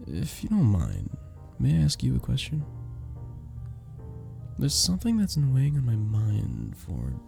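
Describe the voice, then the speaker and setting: A man voicing a sweet, earnest vampire bartender in a romantic ASMR roleplay, soft-spoken and flirtatious, with hesitant little chuckles. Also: mid-range voice, wildly swooping pitch, unhurried, vocal fry, pauses often, heavily acted. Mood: apologetic, relieved. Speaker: audio roleplay performer. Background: soft background music.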